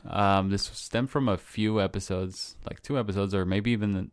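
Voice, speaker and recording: Low, smooth-voiced laid-back man. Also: moderate pace. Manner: relaxed, swears casually, quotes his own thoughts aloud. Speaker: podcast co-host. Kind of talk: casual chat.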